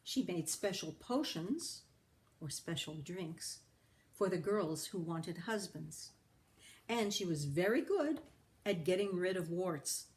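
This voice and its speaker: Slow, deliberate woman storyteller. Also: fairly low pitch, animated, darkish tone. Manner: deliberate, explains words to young listeners. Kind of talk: children's story read-aloud.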